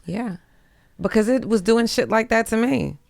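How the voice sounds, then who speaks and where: A woman's voice: fairly high pitch, normal speed, vocal fry, darkish tone, no room sound, studio quality. A woman chatting casually as a podcast guest, blunt and teasing. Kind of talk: casual chat.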